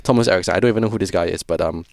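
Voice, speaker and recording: A young man with a Singaporean accent hosting a podcast in relaxed, rambling casual chat, full of fillers. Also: low-pitched, racing, creaky. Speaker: podcast host.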